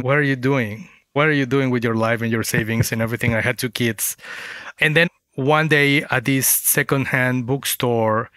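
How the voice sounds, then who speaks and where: A man's voice: medium pitch, fairly bright tone, no echo. A man from Mexico, a native Spanish speaker with a Mexican accent, telling his story conversationally as an interview guest. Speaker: guest author.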